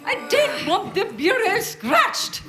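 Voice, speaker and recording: A woman's voice: very high-pitched, very animated, slow pace, a bit bright, faint music behind, full character voice. An actress playing a bossy, snobbish, scheming mother with imperious melodrama in a live radio play. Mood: frantic, commanding. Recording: roomy live stage, clear noise.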